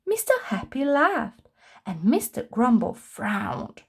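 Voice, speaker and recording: Slow, lilting woman storyteller. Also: a little high, gravelly, breathy edge. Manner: expressive, deliberate storytelling for young children. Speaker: children's story reader. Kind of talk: read-aloud storybook narration.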